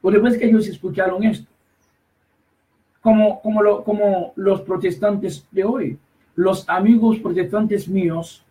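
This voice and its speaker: High-voiced emphatic man preaching from afar. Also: fairly even intonation, dark tone, far from the mic, rough recording. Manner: emphatic, repetitive, halting with restarts. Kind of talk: preaching commentary.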